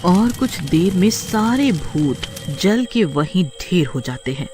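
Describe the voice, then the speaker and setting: A man's voice: high-pitched, big pitch swings, slow, a little mellow, faint music behind. A man narrating a horror story in a dramatic storytelling manner.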